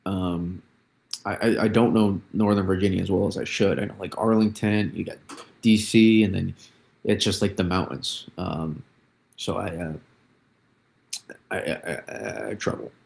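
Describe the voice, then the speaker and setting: A man with vocal fry giving casual live weather commentary, often halting and restarting his words as he thinks. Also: deep voice, darkish tone. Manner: halting, repeats and restarts words mid-thought. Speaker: livestream weather analyst.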